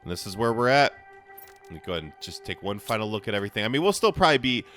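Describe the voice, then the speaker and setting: A young man with a hoarse voice, chatting casually as he plays a game for his channel, rambling with frequent restarts. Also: fairly low pitch, sing-song, rapid-fire, fairly bright tone, faint music behind. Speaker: gaming channel host. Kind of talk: casual gameplay commentary.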